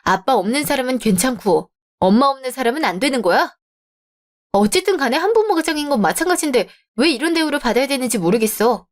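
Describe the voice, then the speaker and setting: A woman's voice: high voice, fast talker. Woman as young bride-to-be, composed, firm, proud, radio drama. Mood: upset. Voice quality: calm, firm.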